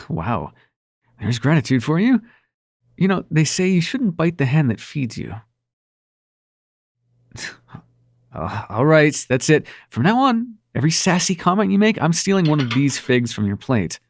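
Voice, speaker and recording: Man playing a cocky demon prince, lively, swooping delivery. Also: fairly high pitch, a little slow, long pauses, no room sound, exaggerated voice. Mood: playful, teasing. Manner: casual, sweary, teasing, hesitant when sincere. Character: cocky, sarcastic young demon prince, secretly tender. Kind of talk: intimate ASMR audio roleplay acting.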